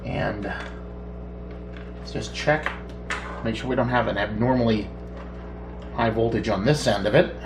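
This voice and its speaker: A middle-aged man casually demonstrating electronics at a workbench, thinking aloud in an unhurried way as he works. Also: on the low side. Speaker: electronics repair hobbyist, host. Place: small indoor home workshop at a bench. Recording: roomy, distant mic with background noise.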